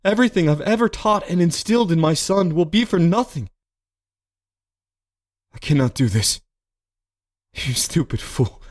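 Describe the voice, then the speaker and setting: A man's voice: fairly high pitch, animated, moderate pace, pauses often, voice pushed up, natural voice. A man voicing a desperate, honorable father in an audiobook story, speaking in an anguished, declamatory way. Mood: anguished, self-reproaching. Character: desperate, honorable father wrestling with guilt, then dying. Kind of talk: dramatic storybook character acting.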